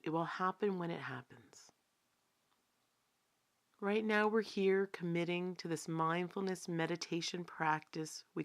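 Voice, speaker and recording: A woman meditation teacher guiding a body scan in a calm, unhurried, gently instructive manner, leaving long pauses. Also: on the low side.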